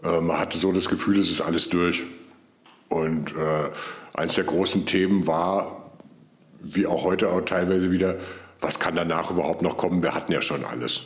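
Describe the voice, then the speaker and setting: Male interviewee, middle-aged, low register, conversational recollection. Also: fairly even intonation, normal speed. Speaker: interviewee, eyewitness.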